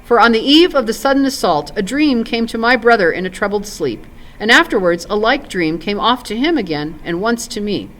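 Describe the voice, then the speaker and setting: A woman reads as a proud noble warrior from a fantasy storybook. His speech is formal and archaic, grave and doubtful, with a lively, moving pitch. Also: fairly quick, natural voice, poor audio.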